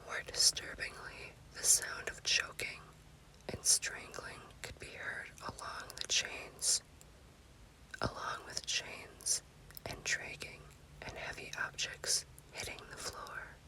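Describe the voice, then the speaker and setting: A woman narrates a scary story as ASMR in an unvoiced, breathy whisper, in deliberate short phrases. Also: pushed and bright, low-quality recording. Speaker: storyteller, host.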